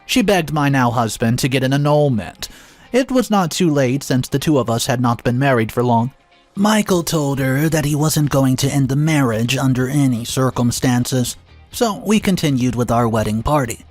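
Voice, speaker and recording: A man reading a first-person drama story aloud in a calm, even storytelling manner, with an occasional filler. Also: medium pitch, normal speed, faint music behind. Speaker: story narrator.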